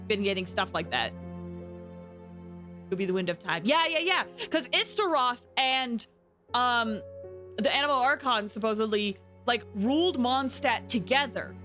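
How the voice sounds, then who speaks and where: Bright, excitable woman streamer. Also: medium pitch. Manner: animated, rambling, dramatic outbursts. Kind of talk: excited live gameplay commentary and theorizing.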